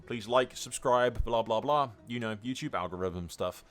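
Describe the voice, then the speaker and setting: A man's voice: mid-range voice, moderate pace. A man hosting a gaming channel, giving casual, reactive commentary on a roleplay playthrough in slangy, sweary language.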